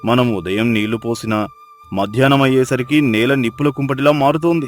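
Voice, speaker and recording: Devoted village husband, played by a man, medium-pitched, earnest and tender, storybook drama with faint music. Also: a little fast, natural voice. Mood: caring.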